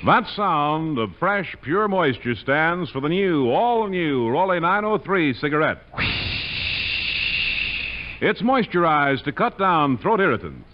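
Male 1940s ad announcer, higher-pitched, emphatic and polished, dull old radio sound. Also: sing-song, slow pace, a little hiss or hum. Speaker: commercial announcer. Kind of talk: 1940s radio advertising read. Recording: muffled 1940s radio broadcast.

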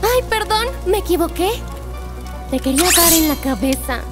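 A mocking cartoon killer robot acted by a woman, with an extremely high voice. She is sarcastic and mean, with a Latin American Spanish accent. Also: animated, moderate pace, over loud music. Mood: mean, suspicious. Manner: taunting, sarcastic. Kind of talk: animated fan dub acting.

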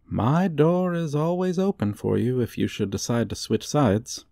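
A man playing a gloating, suave supervillain in a comedic ASMR roleplay, with a theatrical, teasing, drawn-out delivery. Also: medium pitch, creaky, dark tone, no echo, studio quality. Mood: triumphant, flirtatious.

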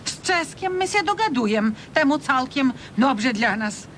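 High-voiced, plain-spoken wife. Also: female voice, animated, measured pace, fairly bright tone. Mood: chiding, questioning. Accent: eastern Polish, Podlasie regional. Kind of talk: radio comedy serial acting. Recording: rumbly, noisy radio recording.

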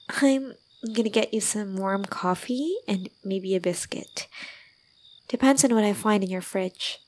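ASMR roleplay: gentle young girlfriend caretaker, played by a woman. Slow, breathy, soft, soothing, a little teasing. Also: medium pitch. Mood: caring, coaxing. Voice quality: soft, breathy, often slipping into whispers. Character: gentle, doting young woman caring for a drunk lover. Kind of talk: ASMR roleplay, intimate one-sided dialogue.

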